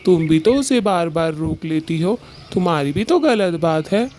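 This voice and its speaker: A woman's voice: lowish voice, lively intonation, normal speed, darkish tone, slight background noise, lightly acted. A woman voicing a patient, mild male bird husband in a cartoon, reasoning and placating as he talks. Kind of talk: Hindi cartoon story dialogue.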